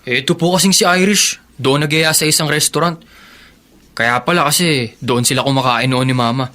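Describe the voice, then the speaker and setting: An actor playing an earnest teenage son and teasing brother in a radio drama. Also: male voice, a little high, fairly quick, pushed and bright. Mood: complaining, teasing. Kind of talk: Filipino radio drama acting.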